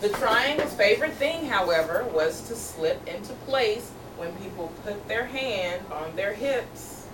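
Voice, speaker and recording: A woman's voice: medium pitch, animated, measured pace, hardly any pauses. Echoey, distant woman teacher reading aloud. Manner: encouraging, repeats pupils' answers back. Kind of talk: interactive storybook read-aloud with questions. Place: room with a group of children. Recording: distant, echoey, noisy room recording.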